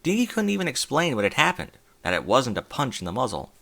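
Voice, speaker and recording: A man narrating a fan fiction story as an audiobook, in an expressive storytelling manner. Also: medium pitch, fast, gravelly.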